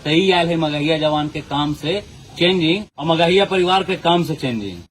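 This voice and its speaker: A man's voice: on the high side, normal speed, a little hiss or hum. A man hosting a channel, talking earnestly and pleading with viewers. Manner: earnest, pleading, folded-hands appeal. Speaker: channel host. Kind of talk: casual commentary to viewers.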